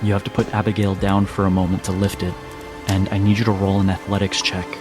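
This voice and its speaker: A man as game master, narrating a tabletop game dramatically in deliberate, broken phrases. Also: low-pitched, completely flat delivery. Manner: deliberate, short phrases with pauses. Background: music and rain sounds.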